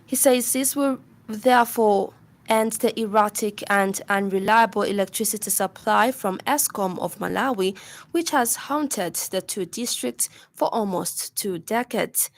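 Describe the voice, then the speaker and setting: A woman's voice: medium pitch, unhurried. A woman with a Zambian accent reading a scripted radio news bulletin in a formal manner. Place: dry radio studio.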